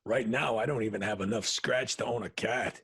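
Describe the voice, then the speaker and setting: A man playing a wisecracking, down-on-his-luck new guard in an audio drama, self-deprecating, with a gravelly rasp. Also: on the low side, moderate pace, a bit bright, exaggerated voice.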